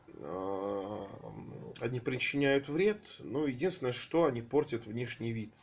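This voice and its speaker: A man with a slightly hoarse voice from a cold, filming his aquariums at home on a handheld phone and talking in a rambling, hesitant way full of fillers. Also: mid-range voice. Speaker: aquarium hobby vlogger. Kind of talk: casual home vlog, off-camera narration.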